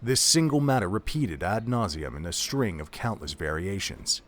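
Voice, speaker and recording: A man's voice: lowish voice, sing-song, moderate pace, fairly bright tone. A man reading scripted third-person narration as the storyteller of an audio drama.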